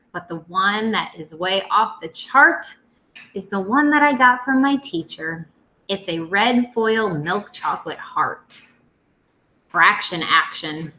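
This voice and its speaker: A woman reads aloud to children in a roomy, echoey space. Her voice is bright and very animated, rising and dipping with playful drama. She sounds like a schoolteacher performing for her young students. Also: mid-range voice. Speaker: teacher reading aloud to students. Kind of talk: expressive children's read-aloud.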